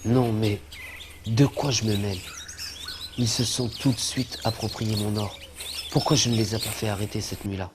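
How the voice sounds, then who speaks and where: A man's voice: on the low side, steady tone, normal speed, background noise, close to an everyday voice. An actor playing a greedy, cowardly, scheming man in a French-dubbed cartoon. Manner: placating and pleading aloud, conspiratorial when plotting alone. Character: greedy cowardly scheming male treasure finder. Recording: music under the voice.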